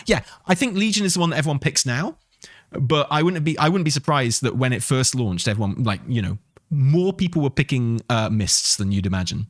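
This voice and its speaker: A man's voice: mid-range voice, no room sound, clean studio sound. A man talking casually as a gaming stream host, rambling and enthusiastic, with some swearing. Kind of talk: casual livestream chat and commentary on game news.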